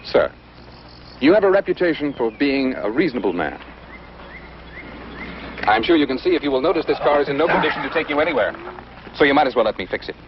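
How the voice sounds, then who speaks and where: A man acts out a mysterious American inventor in a vintage television drama, courteous and calmly insistent. He talks quickly and precisely with animated intonation, on a dull old soundtrack. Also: mid-range voice, background noise, close to an everyday voice. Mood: polite, insistent. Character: mysterious, polite, self-assured eccentric male inventor. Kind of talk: 1950s television drama acting.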